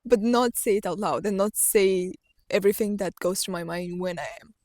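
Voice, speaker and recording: A woman's voice: mid-range voice, fairly even intonation, moderate pace, a little mellow, no room sound. Young romanian-born woman vlogging, chatty and candid, lots of "like", mid voice, dry close sound. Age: young adult. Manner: candid and chatty, laughs often, fillers like "like" and "literally". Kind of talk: casual reflective monologue to camera.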